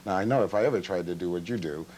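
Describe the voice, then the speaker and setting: A man interviewing a band for television, asking brisk chatty questions. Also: low-pitched, a little mellow, slight background noise, poor audio.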